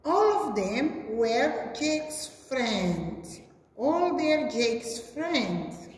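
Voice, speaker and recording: High, slow sing-song woman storyteller. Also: big pitch swings, darkish tone. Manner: simple, repetitive, exaggerated sing-song emphasis. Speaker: preschool teacher. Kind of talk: read-aloud storytelling for small children. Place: indoor classroom. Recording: echoey room with long reverb.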